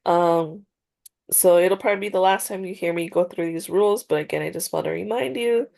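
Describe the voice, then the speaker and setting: A woman's voice: lowish voice, a little fast, creaky, darkish tone. A woman playing a counselor in a practice session over a video call, congested and a little nasal, hesitant with many fillers and restarts. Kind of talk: role-played therapy session.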